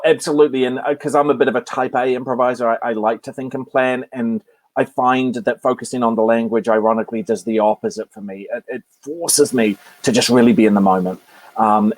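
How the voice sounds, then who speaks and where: A man's voice: lowish voice, steady tone, fairly quick, no room sound, rough recording. A man who teaches improv talks eagerly about his upcoming class over a video call, making self-mocking jokes along the way. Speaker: improv instructor.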